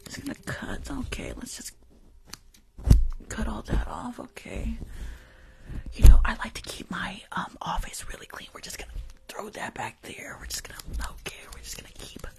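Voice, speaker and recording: A woman in her twenties doing hushed ASMR roleplay and livestream chat, murmuring repetitive little phrases close to the microphone. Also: medium pitch, wildly swooping pitch, moderate pace, gravelly, rough recording. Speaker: ASMR creator and livestream host. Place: small indoor room at home. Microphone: close desktop microphone, earphones on.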